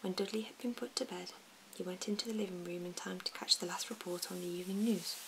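Woman, whispered storybook reading, soft, even, hissy background, mouth clicks. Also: fairly low pitch, fast, gravelly.

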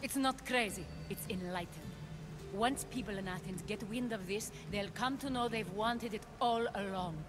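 An actress playing an ambitious, visionary schemer of ancient Greece in a video game, speaking in a measured, earnest and persuasive way. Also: female voice, medium pitch, music in the background, lightly acted. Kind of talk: dramatic video game cutscene acting.

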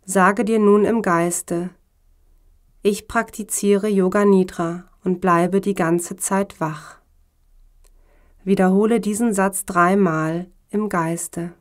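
A woman speaking as a yoga teacher, guiding a relaxation meditation in a calm, measured, instructional way. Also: medium pitch. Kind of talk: slow guided meditation narration.

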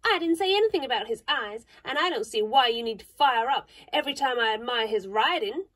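A woman's voice: fairly high pitch, animated, fairly quick, a bit bright, poor audio. A woman playing a prim, chattering young girl. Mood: peevish. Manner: earnest, chattering, fussy over manners. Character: young girl, prim, vain, fond of big words.

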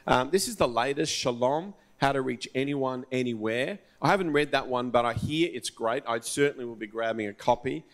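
Middle-aged male pastor, mid-pitched, informal halting intro on a church stage, handheld mic. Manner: informal, hesitant with fillers and restarts. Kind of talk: introducing a speaker. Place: large dark church stage.

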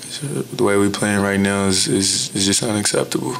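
A young man and college basketball player answering press conference questions in a measured, blunt way, with many "you know"s, into a table mic in an indoor press room. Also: fairly low pitch, gravelly, background noise. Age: young adult. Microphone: table mic in front.

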